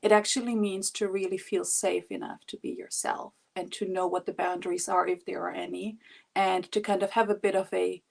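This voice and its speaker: A woman's voice: lowish voice, level tone, fairly quick, gravelly. A woman in her forties from Germany, introducing herself on an online panel in an earnest, thoughtful and expansive way. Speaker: panelist, HR executive.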